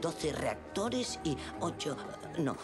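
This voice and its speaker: A fast-talking fake oil tycoon bluffs his way through every question with stammers and restarts. His pitch leaps and dips constantly. Film music runs behind him. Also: sing-song. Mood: muttering, straining to recall. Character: flustered male con man posing as a rich oilman. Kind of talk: dubbed film acting.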